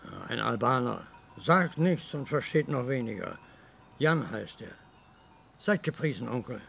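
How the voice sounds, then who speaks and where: Gravelly, deferential mafia henchman. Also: mid-range voice, lively intonation, a little slow, fairly bright tone, a little hiss or hum, exaggerated voice. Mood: earthy, obliging. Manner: respectful, earthy. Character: deferential Sicilian henchman serving his mafia uncle. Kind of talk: German radio crime drama acting.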